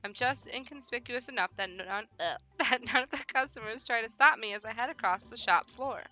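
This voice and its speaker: Female game streamer, high and very bright voice, animated slangy chatter and read-aloud text, music underneath. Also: fairly quick. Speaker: host of a visual novel livestream. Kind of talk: casual livestream chat and reading game text aloud.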